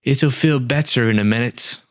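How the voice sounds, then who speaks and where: A man voicing a calm old male talking desert snake that explains things patiently, with a matter-of-fact, wry manner, in a storybook reading. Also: medium pitch, moderate pace, no echo, studio quality, pitched above the performer's own voice, natural voice.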